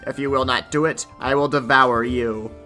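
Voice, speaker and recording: A man voicing a sly, menacing wolf with a rough voice in a storybook tale, over music. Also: mid-range voice, normal speed, bright, forceful tone, natural voice. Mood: threatening. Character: sly, menacing wolf pretending to be mother goat.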